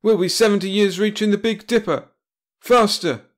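Impatient hero spaceship captain, acted by a man, loud raised bark, bossy, comic sci-fi story. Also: high voice, steady tone, normal speed, pitched above the performer's own voice, close to an everyday voice. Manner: barking, bossy. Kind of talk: comic pulp sci-fi audiobook.

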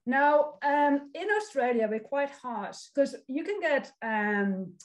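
A woman's voice: sits high, animated, slow pace. A woman in her forties from the Netherlands with a Dutch accent, a social work lecturer giving an encouraging online presentation over a video call. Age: 40s. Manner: friendly, encouraging, reads out audience comments. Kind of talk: online presentation to a youth summit.

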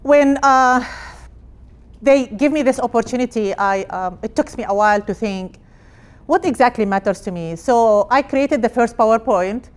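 A middle-aged woman from Palestine, a hospital director, giving an energetic personal talk in a conference room with phrasing. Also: fairly high pitch, normal speed. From Jerusalem, Palestine. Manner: energetic, gesturing while speaking, non-native phrasing. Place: conference room with projected slides.

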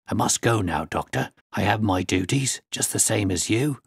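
A man voicing a furtive, self-important tout in a hushed, conspiratorial manner for an audiobook. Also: fairly low pitch, lively intonation, normal speed, breathy edge, no echo, clean studio sound, heavily acted. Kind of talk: audiobook character voice.

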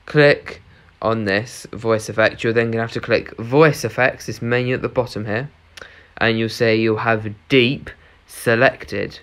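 Man, mid-pitched voice, tutorial host, casual step-by-step narration, explanatory phrasing. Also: a bit bright. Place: voice-over on a screen recording.

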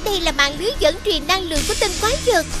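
A woman voicing a grateful, polite young bird princess in an animated fairy tale, formal and pleading. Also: extremely high pitch, rapid-fire. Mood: earnest. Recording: music behind the voice.

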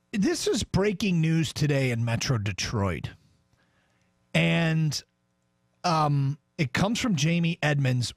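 A man's voice: medium pitch, no echo. A man with an American accent hosting sports radio, talking through news and commentary on a studio microphone. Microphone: studio broadcast microphone.

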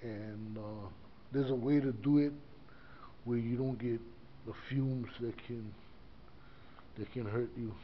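A man's voice: mid-range voice, fairly even intonation, slow, pauses often. Older guy rambling thru a church tour, uh's everywhere, dull lo-fi camera sound w/ faint hiss. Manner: rambling, many fillers and restarts. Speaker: church custodian vlogger. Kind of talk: casual walkthrough commentary. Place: inside a small church building. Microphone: body-worn action camera.

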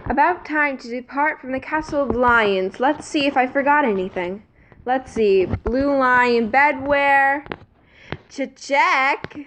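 A woman's voice: sits high, pushed and bright, a little hiss or hum, full character voice. Woman playing a smug, drawling alien prince. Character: smug, theatrical alien prince stealing away, cartoon villain.

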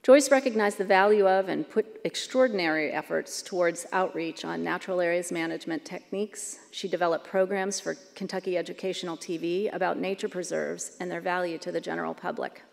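A middle-aged woman presents an award, steadily reading from prepared notes at a podium. Her voice is low and gravelly, trailing off into the long reverb of a big hall. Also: steady tone, a little fast, few pauses. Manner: reads prepared text steadily. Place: large banquet hall stage. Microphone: podium microphone.

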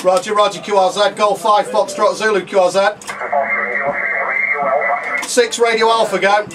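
A man with a high, monotone voice runs radio contest contacts from a small room. He is picked up at a distance under very loud noise, spelling out callsigns in procedural phonetic exchanges. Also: moderate pace. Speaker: amateur radio contest operator. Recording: very noisy radio shack, distant mic.

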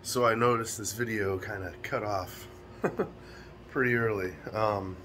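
A raspy, hoarse American man talks casually into a phone held close, his low voice worn down by a cold. His nose is stuffed up, and his loose, rambling talk is broken by little chuckles. Faint noise sits behind him. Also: steady tone, measured pace, a bit bright. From United States. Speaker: vlogger. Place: small room indoors. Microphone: phone camera held close.

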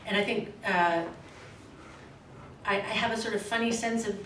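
Brief: woman novelist at a podium, careful expressive reading, distant mic in a roomy hall, faint hiss. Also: medium pitch. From grew up in Australia, Canada and the States. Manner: measured, expressive reading; halting and self-correcting when answering. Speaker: novelist reading and taking questions. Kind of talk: literary reading, then casual Q&A. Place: small event room with a red curtain, indoors. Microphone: podium microphone, recorded from a far camera.

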